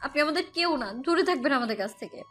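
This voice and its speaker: A woman acts out a hurt young mother narrating a romantic audio drama in the first person. Her voice is very high, with a dramatic, scolding edge and a defiant temper. Music runs underneath. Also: expressive, quick pace, fairly bright tone. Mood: angry, accusing. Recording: music bed behind the voice.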